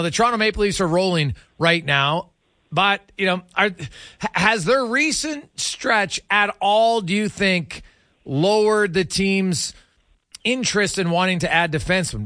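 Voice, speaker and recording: Bright-voiced male sports radio host. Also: high voice, lively intonation, normal speed, no room sound, clean studio sound. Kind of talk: conversational sports interview.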